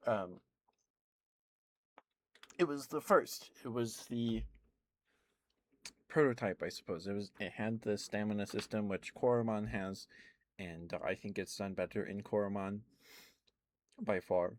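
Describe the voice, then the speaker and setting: A man's voice: lowish voice, measured pace, pauses often. A man streaming ASMR, rambling casually to live viewers with frequent ums and little laughs. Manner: meandering, chatty, lots of ums and little laughs.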